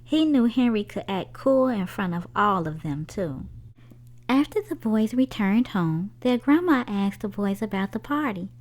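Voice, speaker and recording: A woman's voice: medium pitch, animated, unhurried, a little mellow. A woman reading aloud as a children's story-time host, with a sing-song manner aimed at small children. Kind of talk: animated read-aloud storytelling for young children.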